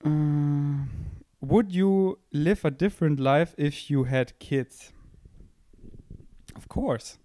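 A man's voice: a little high, extremely slow, pauses often, dark tone, no echo. A young man hosting a podcast, rambling in a playful, self-mocking way as he thinks aloud, seated indoors and speaking into a handheld microphone held close. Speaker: podcast host. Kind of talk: casual rambling monologue. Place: indoor room, seated on a couch.

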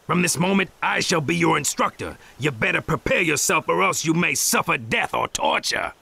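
Gravelly, swaggering rapping ninja mentor. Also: male voice, fairly high pitch, lively intonation, moderate pace, pushed and bright, exaggerated voice. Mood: cocky. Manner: slangy, rhyming, swaggering. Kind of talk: anime video game voice acting. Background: faint game music.

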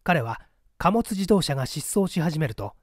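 A man narrates a mystery tale as a practised reader would. His voice sits a little high and moves through a wide, animated range. The sound is dry, and the delivery is expressive and dramatic. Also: moderate pace, dry recording. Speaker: audiobook reader, small-theatre actor.

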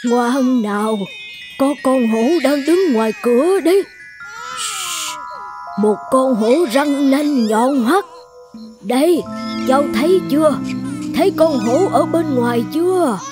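Slow, coaxing cartoon granny. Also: female voice, high voice, expressive, over music. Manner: coaxing, singsong, tender. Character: doting old village grandmother soothing a crying child. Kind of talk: animated folk-tale voice acting.

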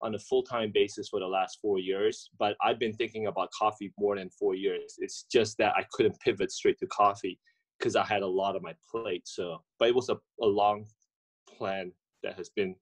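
A man in his forties answers interview questions over a video call. His voice sits a little low, and he talks in a halting way, with lots of fillers and restarts. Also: steady tone, husky. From Indonesia. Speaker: guest, coffee entrepreneur. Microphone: webcam or laptop mic on video call.

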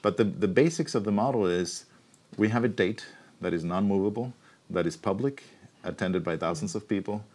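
A man in his forties answering interview questions in a studio, thoughtful and explanatory, with frequent pauses and restarts, recorded on a lapel mic. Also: fairly low pitch, darkish tone. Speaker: university researcher, keynote guest. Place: TV studio set.

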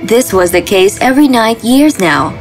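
A woman's voice: on the high side, expressive, normal speed. A woman giving scripted narration of a fairy tale as the storyteller. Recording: music behind the voice.

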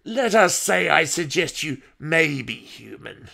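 Man voicing a menacing, imperious old woman, slow-spoken. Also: a little high, sing-song, gravelly, bright, forceful tone, full character voice. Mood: mocking, threatening. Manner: commanding, taunting, deliberate.

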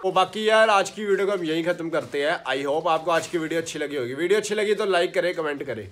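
A man's voice: a little high, quick pace, a bit bright. A young man in his twenties chatting to his phone camera at home as a vlogger, narrating his day, with a slightly sore throat. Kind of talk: casual vlog chat. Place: small room at home. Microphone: phone held at arm's length.

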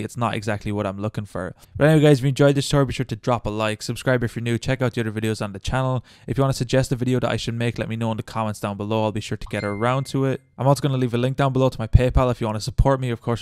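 Fast-talking man with a clean, clear voice. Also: mid-range voice, a little mellow, no echo, studio quality. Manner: chatty, informal. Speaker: tutorial host. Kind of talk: casual step-by-step software tutorial narration. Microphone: good close microphone, voice-over.

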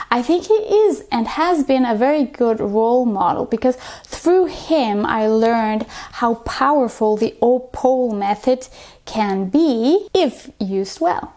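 A woman's voice: a little high, darkish tone. A woman in her thirties from Ecuador, hosting and answering questions to camera in an explanatory way. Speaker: host of a multilingual parenting channel. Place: small indoor room, plain wall.

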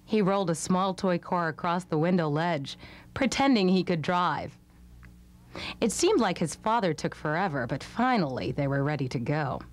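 A woman's voice: lowish voice, animated, normal speed. A woman reading scripted narration for a children's storybook. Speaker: storybook reader.

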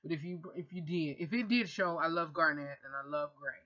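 Thirties woman vlogger, chatty and self-correcting, sharp bright voice, dull webcam sound. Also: low voice, a little fast. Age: 30s. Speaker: vlogger and radio host. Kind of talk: casual Q&A vlog. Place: bedroom, indoors. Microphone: webcam at close range.

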